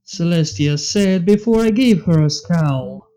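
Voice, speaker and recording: A man's voice: fairly high pitch, lively intonation, a little slow, mellow. A man reading fan fiction aloud in a scripted narrating style. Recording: music under the voice. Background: background music.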